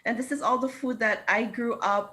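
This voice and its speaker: A woman's voice: a little high, fairly even intonation, fast talker, bright, forceful tone. A woman in her thirties from Hawaii, a food truck co-owner, explaining her menu at length in a remote news interview over a video call. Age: 30s.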